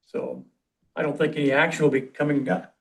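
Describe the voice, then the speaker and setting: A man's voice: on the high side, steady tone, brisk, creaky. Man leading an informal committee meeting. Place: council meeting room.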